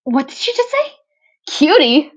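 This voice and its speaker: A confused, wary teenage boy, acted out by a woman, stammering and unsure. The voice is slightly hoarse and hesitant, on a dull home recording. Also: high voice, very animated, unhurried, pitched above the performer's own voice. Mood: flustered. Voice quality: somewhat hoarse.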